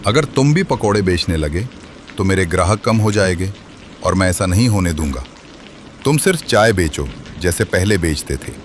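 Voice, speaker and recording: A man playing a jealous, threatening rival snack seller in an animated moral story, confrontational and indignant. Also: sits low, moderate pace. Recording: rain and street noise behind.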